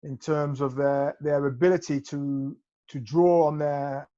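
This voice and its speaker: A man's voice: on the high side, fairly even intonation, unhurried, mellow, no echo. A man co-hosting an interview, asking questions in a rambling way and repeating words. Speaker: podcast co-host. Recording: dull lo-fi dry sound.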